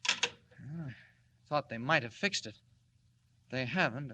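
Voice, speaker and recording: An actor playing a timid, earnest newspaper reporter who stammers when flustered, in 1940s radio style. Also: male voice, medium pitch, slow, very noisy. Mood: flustered, pleading. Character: timid, anxious, mild-mannered male newspaper reporter.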